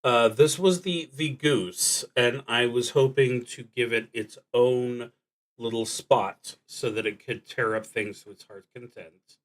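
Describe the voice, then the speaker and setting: A man talks himself through a solo tabletop game on a stream. His delivery is very slow and halting, with deliberate phrasing that often trails off. His pitch sits in a medium range for a man. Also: fairly even intonation, poor audio. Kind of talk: thinking aloud while playing a solo card and dice game.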